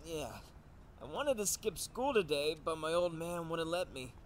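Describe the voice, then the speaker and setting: A man playing a grumpy, baffled male high school student who is sick with a cold, in an anime fan dub. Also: expressive, unhurried. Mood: sick, sullen. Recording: amateur dub with faint noise.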